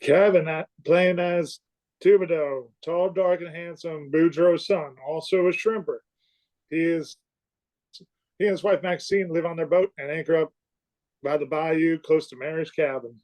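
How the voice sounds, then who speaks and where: A man's voice: a little high, fairly even intonation, a little slow, a little mellow, poor audio. A man reading out a scripted introduction of his radio play character.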